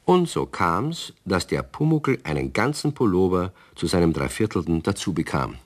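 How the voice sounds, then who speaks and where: A man's voice: sits low, big pitch swings, moderate pace. A man playing a wry, fatherly narrator of a children's radio play. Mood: amused. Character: wry, fatherly male storyteller. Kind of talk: scripted children's story narration.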